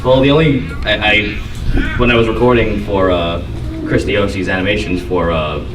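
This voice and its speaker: A man's voice: lowish voice, steady tone, moderate pace, background noise. A man telling a rambling story on a convention panel, recorded from the audience in a large hall. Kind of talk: anecdote at a panel. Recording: echoey hall from far off.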